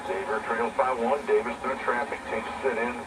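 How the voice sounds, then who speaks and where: A man's voice: mid-range voice, flat delivery, normal speed. A man calling live basketball play-by-play, heard through an old radio speaker. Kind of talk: live sports commentary. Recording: distant old radio, loud static.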